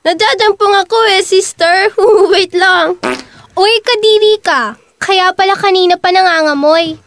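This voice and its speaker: Woman as a very high-pitched campy teasing friend. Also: unhurried, fairly bright tone, slight background noise. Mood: teasing, gleeful. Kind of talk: amateur radio drama acting.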